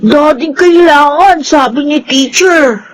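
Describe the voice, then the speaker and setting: A woman's voice: sits high, takes it slowly, rough recording. A woman with a Filipino accent playing a wistful little schoolchild in a radio drama.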